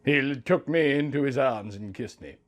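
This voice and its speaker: A man's voice: medium pitch, moderate pace, close to an everyday voice. A man voicing a lovestruck young woman who narrates her honeymoon as a swooning, melodramatic romance story. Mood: dreamy, contented. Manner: swooning, overwrought. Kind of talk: melodramatic romantic fan fiction reading.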